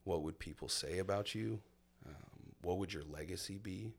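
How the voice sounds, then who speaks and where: A man's voice: low-pitched, normal speed, husky. A man in his thirties telling his personal story in a casual, candid conversation, reflective and full of fillers. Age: 30s. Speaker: guest sharing his testimony.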